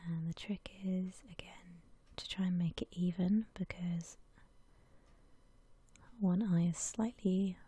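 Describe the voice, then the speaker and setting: A woman speaks in a very breathy, soft whisper with a dark, mellow tone. She keeps it gentle and unhurried, staying within a narrow, even range as she chats casually in ASMR style. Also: fairly low pitch, no room sound. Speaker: ASMR video creator. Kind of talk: whispered ASMR, casual makeup chat voice-over.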